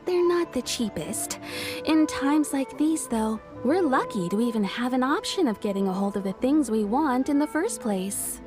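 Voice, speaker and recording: An actress playing a polite, businesslike kimono shop tailor in a video game, explaining things formally and courteously. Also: female voice, high voice, lively intonation, normal speed, over music. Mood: helpful. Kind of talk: scripted video game dialogue.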